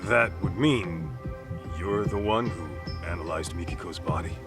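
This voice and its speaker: An actor playing an easygoing, plain-spoken middle-aged private detective in an English video game dub. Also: male voice, deep voice, a little slow, music in the background. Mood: probing.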